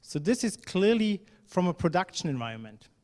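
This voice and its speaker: A man from Germany gives a talk at a conference, his voice a touch higher than most men's. He speaks in a relaxed, conversational way, full of ums and restarts. His voice rings with the long reverb of a large hall. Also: moderate pace. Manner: conversational, fillers, occasional non-native phrasing.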